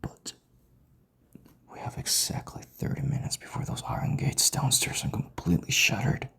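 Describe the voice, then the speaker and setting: A man playing a proud, stubborn king in an intimate audio drama, commanding, with breathy, gravelly half-whispers. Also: normal speed, fairly bright tone, lightly acted. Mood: intense, pleading. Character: proud, stubborn, commanding young king, bitter rival husband.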